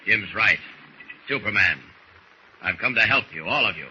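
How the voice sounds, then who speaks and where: Heroic superhero, low voice, very forceful, authoritative, 1940s radio serial, dull noisy recording. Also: male voice, expressive, normal speed. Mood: commanding. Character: commanding, heroic adult male superhero.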